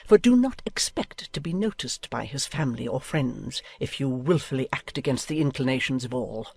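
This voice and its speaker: A woman's voice: a bass voice, lively intonation, moderate pace, vocal fry, a little mellow, husky, no room sound, exaggerated voice. A woman playing a haughty, domineering older noblewoman in a dramatized audiobook, speaking with imperious, declamatory emphasis. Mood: scornful.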